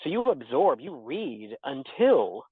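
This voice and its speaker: A man answering interview questions thoughtfully about poetry and teaching, pausing often with fillers and restarts. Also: medium pitch, big pitch swings, slightly rough, no room sound. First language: English. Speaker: interviewed poet and theology teacher. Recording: tinny dry phone-like line.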